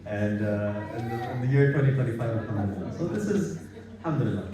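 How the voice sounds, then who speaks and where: A man's voice: medium pitch, lively intonation, fast, a crowd in the background. A man from Bangladesh gives an informal religious talk in a conversational, repetitive way, often checking that listeners agree. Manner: conversational, repetitive, seeking agreement with tag questions. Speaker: Islamic study circle speaker. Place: gathering in a private house. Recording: echoey room, distant microphone.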